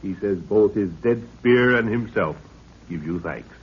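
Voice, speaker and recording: An actor playing a courteous, steady middle-aged expedition leader with formal, gallant speech, in 1930s radio drama style. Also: male voice, fairly low pitch, unhurried. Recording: muffled, noisy 1930s radio recording.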